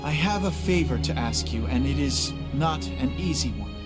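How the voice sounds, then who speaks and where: A man voicing an earnest, courteous, resolute leader in an anime game dub, measured and formal. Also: medium pitch, lively intonation, loud music behind the voice, close to an everyday voice.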